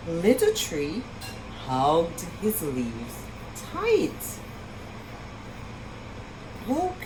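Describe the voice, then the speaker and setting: A woman reading a story aloud for young children in an expressive, sing-song way, pausing to ask her listeners questions. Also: mid-range voice, background noise. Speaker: children's storytime reader and teacher.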